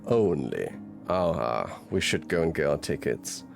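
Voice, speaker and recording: Man playing a deep, gravelly, wry detective. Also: very animated, measured pace, music in the background, full character voice. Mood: teasing, easygoing. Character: wry, easygoing male supernatural detective, protective. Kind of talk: ASMR audio roleplay acting.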